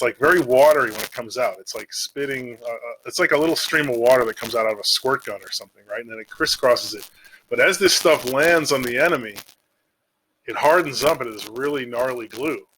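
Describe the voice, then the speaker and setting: Male vlogger, bright forceful tone, informal and digressive, slangy delivery, slight background noise and clicks. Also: mid-range voice, fairly quick, low-quality recording. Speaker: video creator. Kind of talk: casual rambling monologue about game monsters.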